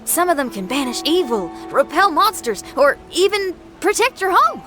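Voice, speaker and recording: A woman's voice: sits high, sing-song, normal speed, over music. A woman playing a spirited, folksy young female musician in a video game. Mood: intrigued. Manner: folksy, exuberant. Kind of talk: animated game character acting.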